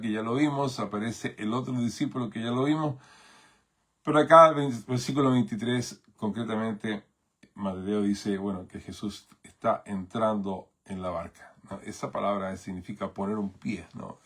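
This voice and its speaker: Chilean pastor guy preaching, husky voice, lots of "eh" and "no?". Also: fairly low pitch. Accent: Chilean Spanish. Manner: thoughtful, many fillers and tag questions. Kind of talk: sermon.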